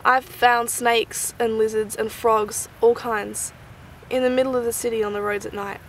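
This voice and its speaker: A woman's voice: on the high side, flat delivery, normal speed, a little hiss or hum. A young Australian woman giving casual, enthusiastic wildlife commentary in a gushing, chatty way with informal slang. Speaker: wildlife vlogger.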